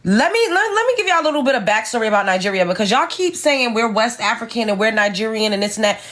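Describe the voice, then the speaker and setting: Woman, American, sassy, emphatic, lecturing, slightly high voice. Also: big pitch swings, fast talker, a bit bright. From United States. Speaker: commentator reacting to clips. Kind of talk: casual opinion rant.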